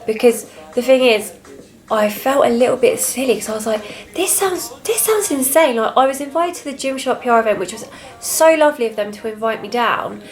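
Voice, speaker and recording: A young woman with a British accent and a soft, quiet voice, chatting candidly and a little reservedly as a fitness vlogger. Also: on the high side, animated, fairly quick. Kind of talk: casual confessional chat to camera.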